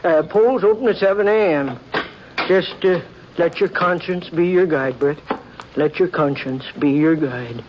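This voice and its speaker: An actor gives us a fussy, evasive townsman who stammers and hedges as he coaxes. The voice sits high for a man. It comes through a dull, faintly hissy old radio broadcast. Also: measured pace, close to an everyday voice. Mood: sly. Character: fussy, hesitant small-town man called Doc. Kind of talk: 1950s radio drama acting.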